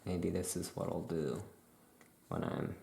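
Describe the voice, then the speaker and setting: A soft-spoken ASMR performer talking as an easygoing, chatty witch shopkeeper, rambling and trailing off in an unhurried way. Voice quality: soft-spoken, low creaky voice. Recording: roomy echo, faint background noise.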